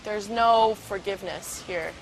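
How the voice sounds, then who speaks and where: A woman's voice: mid-range voice, unhurried, music in the background. A woman of twenty-one from Brooklyn, New York, speaking casually in an interview and acting out the exchanges she describes. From Brooklyn, New York City. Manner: chatty, acts out quoted exchanges, says "like" often. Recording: noisy background.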